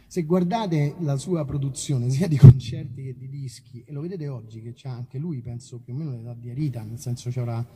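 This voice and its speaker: An Italian man, a musician, chatting informally between songs in a halting, self-deprecating, rambling way. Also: medium pitch, mellow, husky. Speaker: musician performing and introducing songs. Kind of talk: casual rambling stage talk between songs. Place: outdoor festival concert.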